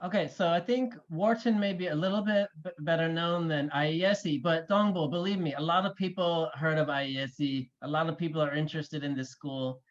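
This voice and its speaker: A male host with a fairly high voice, upbeat and encouraging as he leads the conversation. His audio comes over a video call and sounds dull and muffled. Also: steady tone, brisk, few pauses. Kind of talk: interview hosting.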